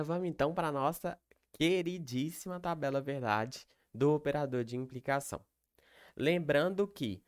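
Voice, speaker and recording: A man's voice: medium pitch, smooth. A young man, giving a recorded online lecture. He explains step by step and keeps checking in with the listener. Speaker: teacher.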